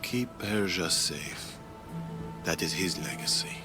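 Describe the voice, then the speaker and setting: An actor playing a solemn, weary but resolute aging Persian strategist and protector, speaking in a measured way in a game cutscene. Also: male voice, deep voice, expressive. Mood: wistful, restrained. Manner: grave, measured, deliberate. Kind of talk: dramatic video game cutscene acting. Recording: dramatic music under the voice. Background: cinematic game music.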